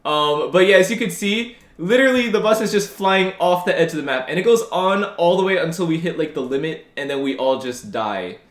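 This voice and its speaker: Fast-talking young man in a roomy echo. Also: high voice. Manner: rambling, candid, lots of fillers and restarts. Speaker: YouTuber. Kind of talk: casual vlog commentary.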